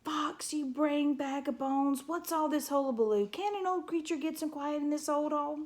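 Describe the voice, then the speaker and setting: A cranky old porcupine coot from a storybook, loud, scornful and quick to bellow insults, as a woman reads him aloud. He screeches more than he talks, with a fairly high voice for such a crusty old creature. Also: big pitch swings.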